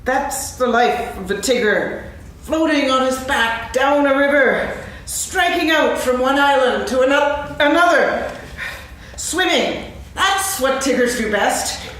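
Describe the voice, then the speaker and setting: Very echoey hall, woman voicing storybook tiger, boastful yet nervous, high voice, slow delivery. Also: lively intonation, a bit bright, heavily acted. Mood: boastful, wistful. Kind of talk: staged reading of a children's play.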